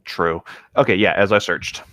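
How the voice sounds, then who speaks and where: A man's voice: low-pitched, moderate pace, fairly bright tone, no room sound, clean studio sound. A man chatting casually and reading aloud among friends. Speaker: co-host of a comedic group read-along.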